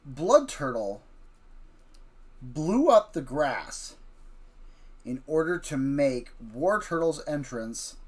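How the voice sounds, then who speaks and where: A woman's voice: low-pitched, very animated, takes it slowly, long pauses, darkish tone, husky. A woman hosting a casual streamed storytelling session, setting the scene and questioning a child about his ideas. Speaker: host.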